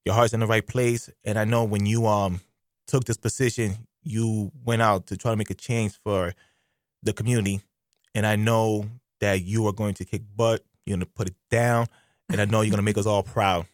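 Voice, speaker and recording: A man's voice: fairly low pitch, little pitch movement, normal speed, no room sound. A man hosting a casual radio talk show from a studio, playful and joking, cheering his guest on.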